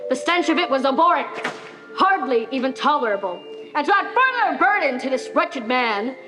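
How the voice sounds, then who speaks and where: High-voiced grave Victorian doctor played by a young woman. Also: very animated, normal speed. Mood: appalled, pitying. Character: grave Victorian doctor presenting a deformed patient. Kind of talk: dramatic monologue, lecture-like. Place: high school theater stage. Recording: music behind the voice.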